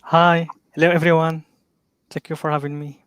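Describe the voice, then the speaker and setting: A man from Morocco whose first language is Arabic, a guest on a live online interview, speaking haltingly with many "uh" fillers over a video call. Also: on the high side, little pitch movement, fairly bright tone, clear, resonant tone, dry recording.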